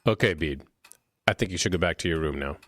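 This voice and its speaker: A subdued military officer in an audio drama, acted by a man, with a low voice. He talks in short, measured phrases, holding back his unease. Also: fairly bright tone, no echo. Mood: calm, placating. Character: uneasy, restrained military officer with ethical doubts. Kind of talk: audio horror drama acting.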